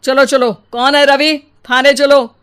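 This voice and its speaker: Woman doing a bossy cop in a cartoon, brusque, high voice. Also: level tone, a little slow, fairly bright tone. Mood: stern. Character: brusque, commanding policeman.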